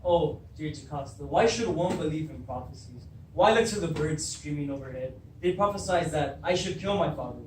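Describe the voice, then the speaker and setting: A man's voice: on the high side, animated, moderate pace, few pauses, close to an everyday voice. A man acting a proud, troubled king in an amateur stage reading, declaiming and commanding. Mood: triumphant scorn. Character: proud, troubled king demanding the truth of his birth. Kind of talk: beginning drama class readers theatre. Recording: echoey room, distant mic, faint noise.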